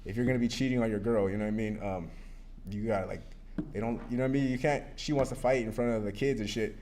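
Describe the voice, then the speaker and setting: A man's voice: lowish voice, fairly even intonation, fast talker, a little mellow, slight background noise. A man in his thirties telling his recovery story at a support meeting, candid and rambling with lots of fillers and cursing. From Arizona. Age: 30s. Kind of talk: personal recovery testimony.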